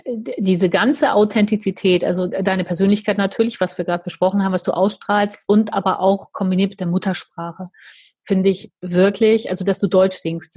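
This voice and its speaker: Husky, effusive woman host. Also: fairly low pitch, warm tone. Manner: effusive, rambling, many fillers. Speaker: podcast host. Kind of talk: casual interview chat.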